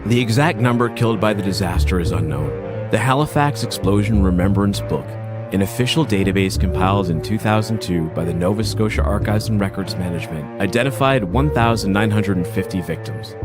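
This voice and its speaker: A man with a somewhat low voice reads out history in an earnest, musing way. A clear music bed plays under him. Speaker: podcast host. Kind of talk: reading history, then personal reflection.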